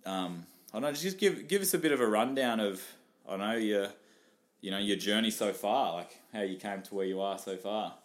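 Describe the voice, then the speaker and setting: A man's voice: on the low side, moderate pace. A man with an Australian accent hosting a casual interview, asking rambling questions full of fillers. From northern New South Wales, Australia. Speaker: podcast host.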